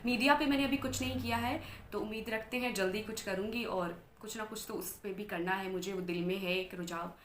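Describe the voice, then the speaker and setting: A young woman from Bihar shares her life story in an interview, earnest and steady, slipping English phrases into her Hindi. The room rings with echo and a faint hum of ambience sits underneath. Her pitch sits in the middle for a woman. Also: a little fast, hardly any pauses. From Bihar, India. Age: 20s. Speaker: interview guest, anchor and model.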